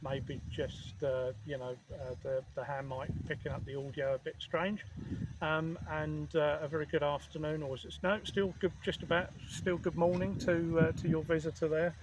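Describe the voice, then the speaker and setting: A man chatting as an amateur radio operator, speaking in a hesitant, measured way. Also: a little high, flat delivery. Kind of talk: casual radio contact chat. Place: outdoors at a portable radio station. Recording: clear outdoor background noise.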